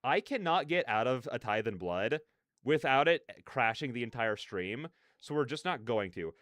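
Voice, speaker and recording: Animated, clear-voiced male streamer. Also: medium pitch, moderate pace, no echo, studio quality. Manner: digressive, conversational, slangy. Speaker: livestreamer hosting a reaction stream. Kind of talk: casual rambling livestream chat.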